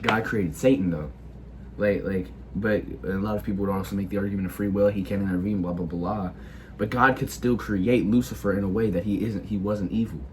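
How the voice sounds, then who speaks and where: A teenage boy speaks in a low register, audibly sick. He talks haltingly and keeps restarting his sentences with filler words. A faint background noise sits under his voice. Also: fairly even intonation, darkish tone. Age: teens. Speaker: video creator. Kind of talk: casual opinion monologue.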